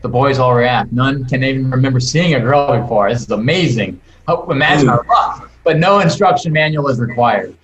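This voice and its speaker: A man's voice: medium pitch, normal speed, hardly any pauses, highs cut off, a crowd in the background. A man reading stage directions aloud as screenplay narration at a cast table read. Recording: echoey room.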